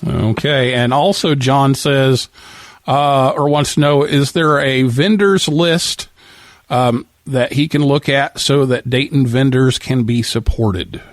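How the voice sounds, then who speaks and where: A man hosting a live call-in show, casually reading out listener messages in a halting way with repeated words. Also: mid-range voice, no room sound. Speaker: radio show host.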